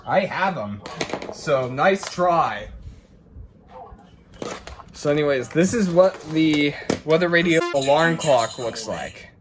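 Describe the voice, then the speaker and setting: A man's voice: fairly high pitch, animated, slow pace, pauses often. A man hosting a casual, rambling unboxing video in an informal way. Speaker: video host. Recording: noisy home recording with clicks.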